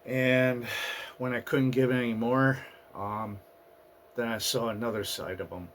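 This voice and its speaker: A man hosting his own channel, talking through his past year in a halting, personal monologue, his voice raspy and hoarse from a recent flu. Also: medium pitch, little pitch movement, a little hiss or hum. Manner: halting, with fillers and restarts. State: getting over a flu, hoarse. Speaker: channel host. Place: at home in the US Northeast.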